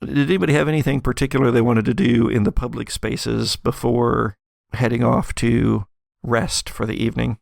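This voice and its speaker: A man narrating a tabletop roleplaying game as game master in a halting, conversational, chuckle-prone way. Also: mid-range voice, flat delivery, vocal fry, a little mellow, no echo, studio quality.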